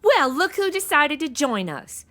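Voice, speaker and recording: A woman's voice: high-pitched, very animated, measured pace, bright, forceful tone, exaggerated voice. A young woman playing a flippant, indignant, slangy modern detective's assistant in a comedy audio drama. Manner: indignant, flippant, slangy valley-girl delivery.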